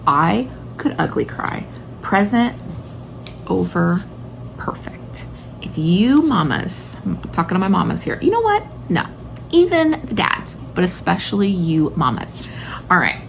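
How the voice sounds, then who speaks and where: A woman vlogger chats in a casual, gushing way, full of enthusiasm. Her voice sits fairly low and often drops into vocal fry. There is faint noise behind her. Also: sing-song, a little slow, poor audio. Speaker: homeschooling video blogger and mother. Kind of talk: casual chatty book recommendation vlog.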